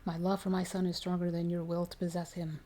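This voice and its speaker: A woman's voice: fairly low pitch, monotone, quick pace, warm tone, natural voice. A woman voicing a fierce, defiant mother in a horror storybook, speaking with emphatic command.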